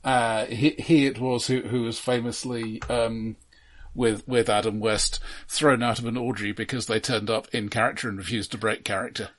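A man co-hosting a casual film discussion, explaining things in a measured, halting way. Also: mid-range voice, flat delivery, a bit bright. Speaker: podcast co-host.

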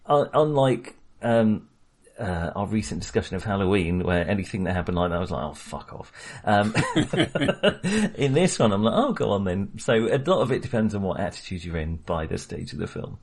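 A man's voice: fairly low pitch, sing-song, normal speed. A man co-hosting a casual film podcast, talking with many fillers and small laughs. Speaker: podcast co-host.

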